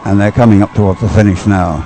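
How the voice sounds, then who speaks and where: A man giving unscripted live race commentary, explaining as he goes in a hesitant, halting way and repeating words. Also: fairly low pitch, steady tone, mellow. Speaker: sports commentator.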